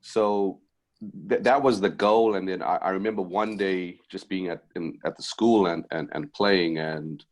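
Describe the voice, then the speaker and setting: A man's voice: low-pitched, a little slow. A middle-aged man from Zimbabwe, a basketball head coach, telling his story as a podcast guest, with many fillers and restarts. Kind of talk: casual interview chat.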